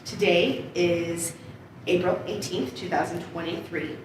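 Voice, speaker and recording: A woman conducting an oral history interview in an encouraging, affirming manner. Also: on the low side. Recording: very echoey, distant, noisy room.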